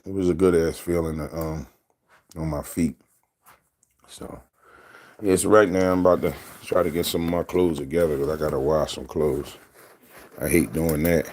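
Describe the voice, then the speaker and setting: Deep-voiced man rambling to camera. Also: warm tone. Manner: loose and rambling, laughs often. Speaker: vlogger. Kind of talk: casual storytelling to camera.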